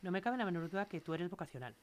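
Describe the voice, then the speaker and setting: A woman with a fairly low voice interviews a guest. Her questions are long and admiring, and they wander, full of fillers and restarts. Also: animated, rapid-fire.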